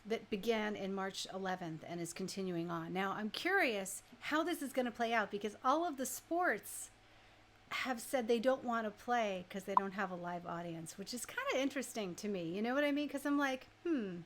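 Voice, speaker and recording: A woman radio host chatting casually and informally, thinking out loud as she shares her opinions. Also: mid-range voice, moderate pace, slight background noise.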